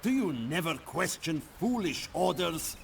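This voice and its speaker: A high-voiced scornful Ottoman commander. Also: big pitch swings, unhurried, vocal fry, far from the mic, a little hiss or hum, heavily acted. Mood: scornful, reasoning. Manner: disdainful, reasoning. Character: proud, scornful Ottoman commander, philosophical rival. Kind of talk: historical strategy game cutscene acting.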